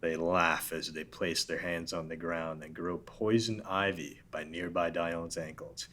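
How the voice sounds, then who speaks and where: A man's voice: deep voice, steady tone, measured pace, bright, forceful tone. A man narrating stage directions at a casual table read, reading steadily.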